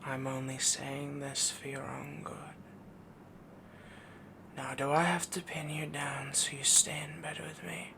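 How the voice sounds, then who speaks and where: Male actor as possessive young werewolf boyfriend, soft half-whisper, gravelly fry, subdued even pitch, cooing and dominant, intimate audio roleplay. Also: measured pace, background noise. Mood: gently commanding. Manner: rambling, dominant, cooing endearments.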